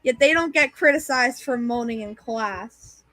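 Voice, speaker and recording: Bright-voiced eager teen, very dry sound. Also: male voice, very high voice, measured pace, dry recording. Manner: eager, interrupting with questions. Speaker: livestream co-host. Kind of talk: casual livestream chat.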